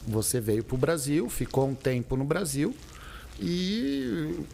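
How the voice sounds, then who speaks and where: A man hosts a casual podcast and asks his guest questions very slowly. He hesitates and repeats fillers before he finds his point, and his voice sits a little high. A faint hiss runs behind him. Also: lively intonation, creaky, warm tone. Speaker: podcast host.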